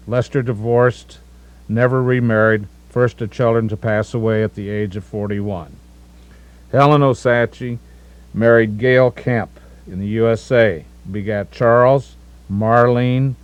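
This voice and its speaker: A man in his sixties from the United States narrates his family's history over home movies, methodically listing names and correcting himself now and then. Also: lowish voice.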